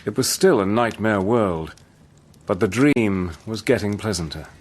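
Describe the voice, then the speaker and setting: An actor playing a composed major who narrates his wartime mission in a measured, storytelling way for a radio drama. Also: fairly low pitch, expressive, a little hiss or hum. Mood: reflective. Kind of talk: scripted first-person radio drama narration.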